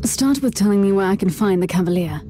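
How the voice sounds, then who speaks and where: Commanding sci-fi inquisitor, woman actor, stern, curt and impatient, medium pitch, music underneath. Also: expressive, fast, lightly acted. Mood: skeptical. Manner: formal, curt, authoritative. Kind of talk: sci-fi video game voice acting.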